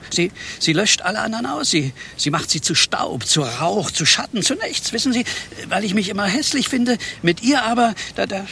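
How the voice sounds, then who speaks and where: Male programmer: flustered, halting, bright and creaky voice, radio drama. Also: high voice, lively intonation, a little hiss or hum, exaggerated voice. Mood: agitated, earnest. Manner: stammering, halting, throat-clearing. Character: flustered, insecure, monogamous married male office programmer. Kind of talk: radio play acting.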